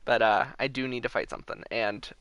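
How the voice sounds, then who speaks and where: A man chatting casually and excitably to viewers as the host of a gaming livestream. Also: medium pitch, fairly quick, creaky, clean tone, no room sound.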